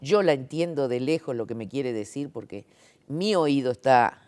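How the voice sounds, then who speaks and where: A woman's voice: rumbling low, expressive, normal speed, darkish tone. A woman, interviewed as a sign language interpreter, explaining her views emphatically, with many fillers and rhetorical checks. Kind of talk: conversational interview.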